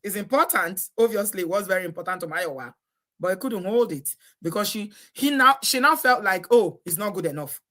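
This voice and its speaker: A woman's voice: mid-range voice, expressive, brisk, sharp tone. A Nigerian woman hosting a live stream, chatty and exclamatory, often breaking into laughter. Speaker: host. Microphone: live stream setup.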